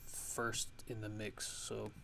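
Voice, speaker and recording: A man giving a casual software tutorial, haltingly thinking aloud as he goes. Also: fairly low pitch, completely flat delivery. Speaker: music production tutorial host. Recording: clear background noise.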